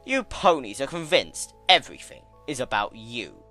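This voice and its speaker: A man performs an ancient male chaos creature from a dramatic fan-fiction reading. He is smug yet weary and tender, speaking slowly and emphatically with big swings of pitch. Music plays behind the bright, forceful voice. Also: very animated. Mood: exasperated.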